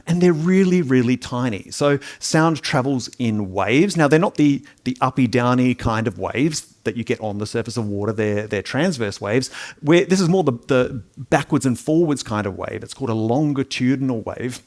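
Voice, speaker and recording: Jokey middle-aged New lecturer. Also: male voice, medium pitch, animated, normal speed. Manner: chatty and jokey, with audience asides and restarts. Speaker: hearing science lecturer. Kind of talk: public science talk to a live audience.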